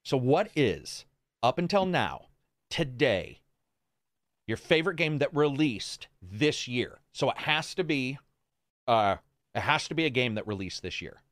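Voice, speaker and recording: A man's voice: mid-range voice, very animated, measured pace, fairly bright tone, no room sound, studio quality. A man hosting a gaming podcast in casual, unscripted chat, with an informal and self-deprecating manner.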